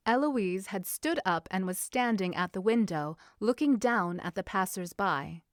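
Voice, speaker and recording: A woman's voice: medium pitch, lively intonation, unhurried, no echo, clean studio sound. A woman narrating scripted fiction as an audiobook-style storyteller. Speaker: fan fiction podcast narrator.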